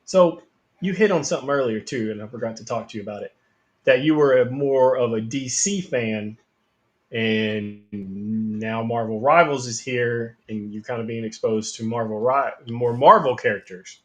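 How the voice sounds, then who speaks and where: Guy hosting a chill gaming chat, says yeah a lot, middle pitch. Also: moderate pace, a bit bright. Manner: affirming, lots of "yeah" and "no doubt". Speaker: podcast host. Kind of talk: casual interview.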